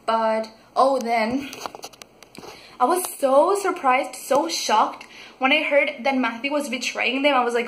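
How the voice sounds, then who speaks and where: Gushing young woman. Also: on the high side. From Mexico. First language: Spanish. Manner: gushing, excitable, full of fillers and restarts. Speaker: book vlogger. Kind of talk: casual book review. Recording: echoey room.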